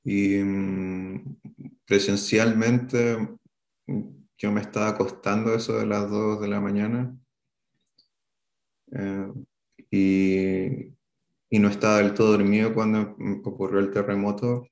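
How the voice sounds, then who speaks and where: A man in his thirties from Chile with a Chilean Spanish accent, recalling an event as an interviewee, halting and hesitant with many fillers. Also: on the low side, little pitch movement, darkish tone, reverberant.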